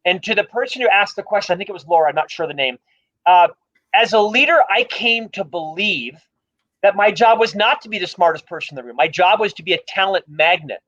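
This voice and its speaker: A man's voice: high voice, animated, brisk, fairly bright tone, lo-fi sound. A man with a stutter, speaking emphatically and with humor as a guest in a livestream conversation about leadership. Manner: emphatic, self-deprecating, openly stutters on some words. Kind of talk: livestream interview talk.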